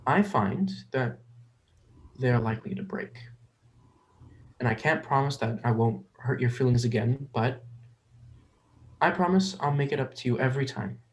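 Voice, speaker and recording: An actor playing a gentle, awkward adult who is trying to befriend a child, speaking hesitantly and earnestly in a dramatic script reading. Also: medium pitch, lively intonation, moderate pace, long pauses, natural voice. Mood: apologetic.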